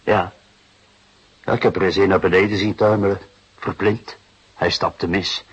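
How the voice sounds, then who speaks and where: A middle-aged police detective with a very husky, lowish voice. He is patient, wry and fatherly, musing and hesitating as he questions. It is a muffled radio drama recording. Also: fairly even intonation. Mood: patient, grave. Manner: deliberate, hesitant musing with repeated assents. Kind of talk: Dutch radio play acting.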